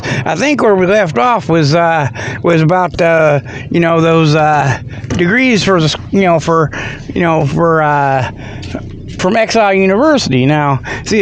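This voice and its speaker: A man with a notably high voice hosts a comic radio monologue. He rambles in a folksy, halting way, repeating himself as he delivers a mock sales pitch. Clear background noise runs under the recording. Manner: folksy, halting, repetitive, circles back on phrases. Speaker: radio show host.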